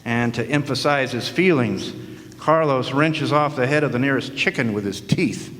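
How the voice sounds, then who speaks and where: A man's voice: medium pitch, moderate pace, a bit bright. A man telling a hard-boiled detective story in wry, sardonic 1940s noir style. His voice sits in an ordinary middle range. Long hall reverb trails behind every line from the live stage. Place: historic club hall, live audience performance.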